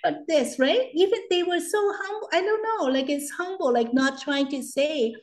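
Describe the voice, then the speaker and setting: Warm-toned guest, woman, 50s, higher pitch, giggly storyteller, echoey room. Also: expressive, moderate pace. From Hong Kong. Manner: chatty, laughs often, acts out quoted voices. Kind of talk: casual interview chat.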